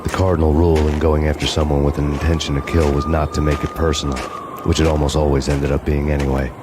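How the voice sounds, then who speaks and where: An actor playing a brooding, vengeful hardboiled cop, narrating in a grim, deadpan noir style. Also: male voice, a bass voice, fast, background noise. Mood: grim, determined.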